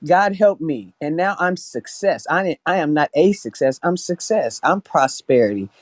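A man with a high voice speaks emphatically, circling back over his phrases and stammering on his first words. His sound is dry and close, with no room around it. He talks freely and laughs as he goes. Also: animated, moderate pace, dry recording. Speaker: podcast guest. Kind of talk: casual testimony.

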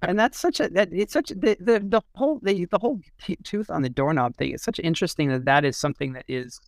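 A man in a casual literary discussion, thinking aloud in a halting way and often repeating and restarting his phrases. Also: high voice, dry recording. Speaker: podcast discussion co-host.

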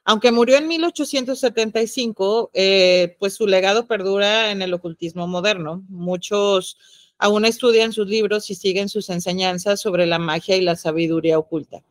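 A woman's voice: medium pitch, fairly even intonation, normal speed, no room sound. Chatty Mexican woman, dry close sound. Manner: chatty and playful, with frequent laughs and slangy asides. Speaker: podcast co-host. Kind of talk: casual podcast chat about the occult.

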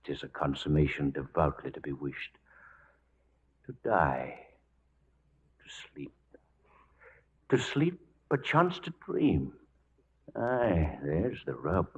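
An actor playing a dignified travelling Shakespearean player, declaiming grandly in 1940s radio style. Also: male voice, extremely low pitch, big pitch swings, slow, pauses often, husky, heavily acted. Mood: solemn declaiming. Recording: muffled vintage 1940s radio recording.

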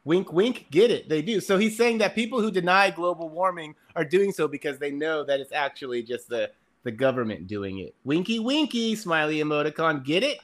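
A man's voice: fairly high pitch, animated, fairly quick. A man. He talks casually and slips into mock-dramatic reading, with a sarcastic, exasperated edge and loose swearing. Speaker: podcast guest reading fliers aloud.

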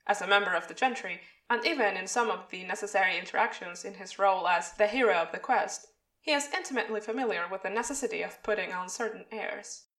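A woman narrates a scripted story at a quick pace. The room around her is clearly echoey. She sounds set back from the microphone. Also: medium pitch, a bit bright. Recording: roomy echo, distant from mic.

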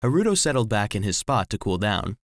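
A man's voice: lowish voice, big pitch swings, a little fast, no echo, clean studio sound. A man playing a small, devoted household cleaning robot, narrating a children's audio drama earnestly. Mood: earnest, reflective. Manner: earnest storytelling.

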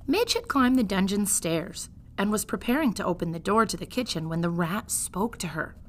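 Woman, teacher reading aloud, children's story narration, expressive, animated melodic pitch. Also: moderate pace.

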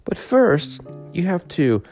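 A man's voice: high-pitched, big pitch swings, slow, a little mellow, soft background music, pitched above the performer's own voice, full character voice. A man voicing a wise, lordly male god of the animals in a storybook tale, speaking in a grand, commanding way.

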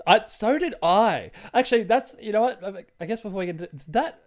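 A man talks casually as one half of a podcast. His voice is a touch high for a man, and he feels his way through thoughts in a hesitant, meandering fashion. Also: expressive, fairly quick. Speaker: podcast co-host. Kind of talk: casual chat.

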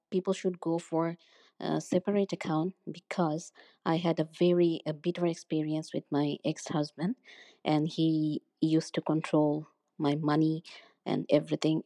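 Earnest woman, low and mellow. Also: little pitch movement, no room sound. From Bangladesh. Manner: earnest, pausing with "uh". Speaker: voicemail caller. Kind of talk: recorded voicemail testimony.

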